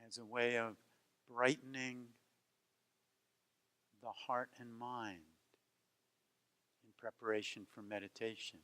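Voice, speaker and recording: Male meditation guide, medium pitch, gentle and unhurried, leaves long silences between phrases. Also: level tone. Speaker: meditation teacher.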